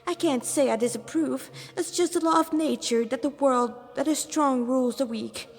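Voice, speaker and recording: A woman's voice: high voice, normal speed, slightly rough, pitched above the performer's own voice. Woman voicing a flamboyant, theatrical male demon knight in a reverberant space. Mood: smug. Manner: theatrical, grandiose. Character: flamboyant male peacock-unicorn demon knight, vain and menacing. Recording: reverberant hall-like room sound.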